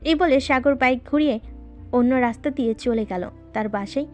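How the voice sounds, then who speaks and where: A woman with a fairly high voice narrates a scripted romantic story. She speaks as the storyteller, with faint music playing underneath. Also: normal speed, a little mellow.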